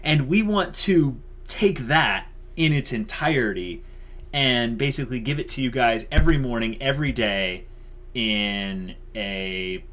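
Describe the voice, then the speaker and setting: A man's voice: medium pitch, unhurried, a bit bright. A man co-hosting an online channel, talking casually and off the cuff in an enthusiastic, emphatic, informal way. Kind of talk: casual unscripted channel update.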